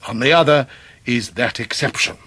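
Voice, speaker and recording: An actor playing a pompous middle-aged Victorian clerk with flowery, grandiloquent English speech, in a radio drama. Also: male voice, medium pitch, normal speed, bright, forceful tone, breathy edge, dry recording. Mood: solemn, guarded. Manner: grandiloquent, theatrical, orotund.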